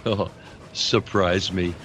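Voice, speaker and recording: An actor playing a calm, sentimental man of mystery in a radio play, speaking in an understated, wistful way. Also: sits low, slow pace, slight background noise, heavily acted. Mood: easygoing.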